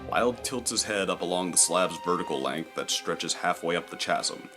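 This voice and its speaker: Male narrator, low pitch, measured dramatic storytelling, descriptive, music behind.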